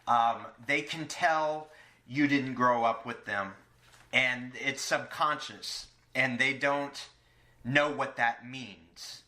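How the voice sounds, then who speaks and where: A man hosting a podcast, speaking haltingly and hesitantly in a personal, philosophical conversation. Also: mid-range voice, bright, forceful tone. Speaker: podcast host, interviewer. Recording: echoey room sound.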